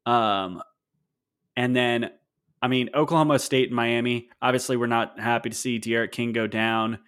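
A man's voice: medium pitch, steady tone, moderate pace, fairly bright tone, clean tone. Man, podcast host, casual chat, opinionated, wry, lots of ums. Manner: opinionated, wry, many fillers.

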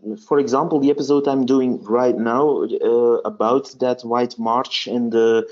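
Flemish man with a mellow muffled voice. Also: medium pitch, steady tone, unhurried. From Belgium. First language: Dutch. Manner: hesitant, many restarts and fillers. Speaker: history podcaster. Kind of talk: casual interview.

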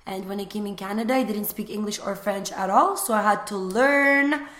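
A woman's voice: mid-range voice, moderate pace. A young woman from Lithuania, chatting casually as an interview guest about her small business, with lots of fillers. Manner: relaxed, chatty, many fillers. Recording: echoey room.

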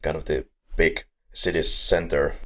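Deep-voiced man hosting a podcast. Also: flat delivery, measured pace. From Finland. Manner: chatty, upbeat, introduces guests. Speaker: podcast host. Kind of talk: casual podcast chat.

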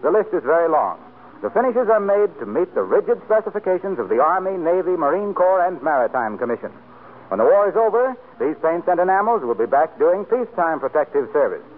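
High, animated 1940s radio announcer man. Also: fairly quick, narrow, tinny audio. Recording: noisy, thin 1940s radio broadcast.

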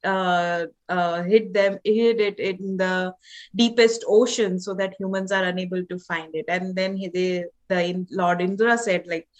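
A woman from India with an Indian accent, a holistic doctor and author in her thirties, answering interview questions with many pauses and restarts. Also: on the low side, fairly even intonation, dull audio. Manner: hesitant, many fillers and restarts. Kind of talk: podcast interview guest.

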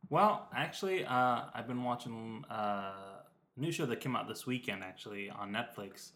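A man's voice: medium pitch, moderate pace, a bit bright. A young man co-hosting a casual podcast while sick with a cold, talking in a meandering, hesitant way with many ums. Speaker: podcast co-host. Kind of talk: casual chat. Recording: echoey room.

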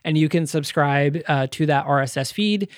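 A man's voice: fairly high pitch, moderate pace, dry recording, studio quality. A man co-hosting a podcast, chatting casually and plugging things in an upbeat, informal way. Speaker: podcast co-host. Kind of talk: casual promotional podcast chat.

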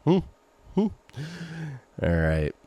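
A middle-aged man with a Maine accent talks through listener questions on his podcast in a loose, rambling way. His voice sits low but rises and falls a lot. The recording is dry and close to the mic. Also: huge pitch swings, extremely slow, dark tone, clean tone, no room sound. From Maine, USA. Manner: chatty, rambling, reads listener messages aloud. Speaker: podcast host. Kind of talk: casual solo podcast talk.

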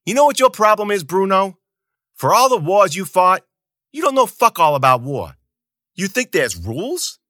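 A man voicing a loud, profane, domineering male camp boss who yells his orders. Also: sits high, very animated, normal speed, dry recording, studio quality, pitched above the performer's own voice, full character voice. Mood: yelling angrily.

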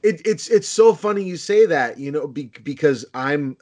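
A man from Boston with a Boston accent, chatting casually in a rambling, animated way with many restarts and casual swearing. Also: fairly high pitch, normal speed. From Boston, United States. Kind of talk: casual chat.

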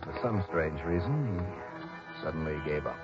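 Tough private eye, low voice, wry hard-boiled delivery, old radio drama, music behind. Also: male voice, lively intonation, measured pace, lo-fi sound. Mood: wry, narrating. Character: tough, wry male private investigator narrating his case. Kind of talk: 1960s radio detective drama.

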